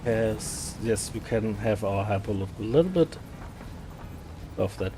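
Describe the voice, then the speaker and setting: A man from Germany with a German accent, chatting casually while playing a game, often repeating words and pausing with fillers. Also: fairly low pitch, expressive, noisy background. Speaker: gaming streamer. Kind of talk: casual gameplay chat.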